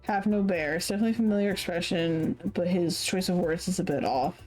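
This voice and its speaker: A woman streamer chatting casually while playing a game, laughing often and filling pauses with "like". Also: on the low side, darkish tone, faint music behind, rough recording. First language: English. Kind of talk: casual gameplay commentary.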